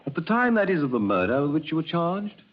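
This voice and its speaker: An actor playing a formal, probing courtroom examiner with a husky voice, in 1940s radio drama style. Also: male voice, fairly high pitch, big pitch swings, fast talker, slight background noise. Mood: stern, probing. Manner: formal, clipped, questioning. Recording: dull, muffled old radio broadcast.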